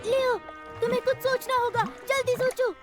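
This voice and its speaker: A voice actor playing an excitable, cheeky young male tiger cub in a cartoon dub, childlike and eager. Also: extremely high pitch, moderate pace. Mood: alarmed. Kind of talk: Hindi cartoon dub acting. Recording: music under the voice.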